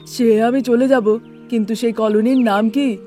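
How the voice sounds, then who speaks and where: A woman's voice: a little high, steady tone, moderate pace, warm tone, faint music behind, close to an everyday voice. A woman voicing a puzzled male city auto-rickshaw driver in a cartoon, questioning and baffled.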